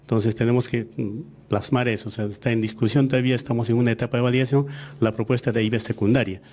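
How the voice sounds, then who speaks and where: A soft, mellow-voiced man whose first language is Aymara speaks as a government education official. He explains things step by step in a measured way and often pauses with hesitations. His pitch sits in the middle range. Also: fairly even intonation. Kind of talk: interview answers.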